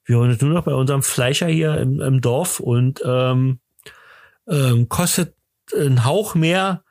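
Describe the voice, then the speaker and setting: Halting, rambling male podcast host, dry close sound. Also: medium pitch, darkish tone, no room sound. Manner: hesitant, rambling, halting delivery. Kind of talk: casual, joking chat.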